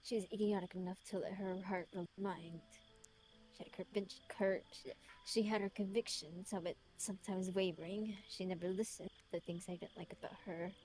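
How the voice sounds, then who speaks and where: A woman's voice: mid-range voice, steady tone, a little mellow, faint music behind, natural voice, poor audio. Woman putting on a brooding British man, solemnly remorseful. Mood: melancholy, brooding. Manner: solemn, literary, measured. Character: brooding, remorseful, lonely man writing a confession.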